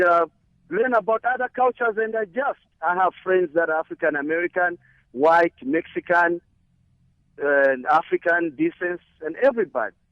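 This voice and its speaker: A man's voice: sits high, dry recording. A man from Zambia calling in to a radio show, speaking earnestly and hesitantly. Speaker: caller. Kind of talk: radio call-in. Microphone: phone line. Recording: muffled phone line.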